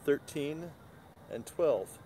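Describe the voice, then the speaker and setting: A man's voice: on the high side, animated, extremely slow, dark tone. Easygoing man chatting on a livestream. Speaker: guest on a livestream. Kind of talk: casual chat. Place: outdoor parking lot at night. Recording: faint outdoor background noise.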